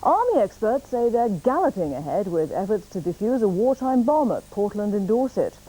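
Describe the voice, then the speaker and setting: A woman with a British accent reading scripted news in a formal, measured way. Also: medium pitch, sing-song, warm tone, slightly rough, rough recording. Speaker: newsreader.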